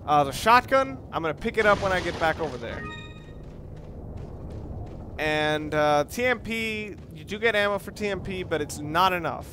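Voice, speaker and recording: A man's voice: high voice, expressive, normal speed. A man giving casual, rambling let's play game commentary, often correcting himself as he talks. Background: loud video game music and sound.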